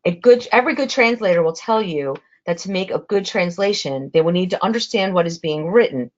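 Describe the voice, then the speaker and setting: A woman's voice: lowish voice, expressive, brisk. Woman presenting a webinar, lo-fi audio. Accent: Spanish. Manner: conversational with frequent fillers.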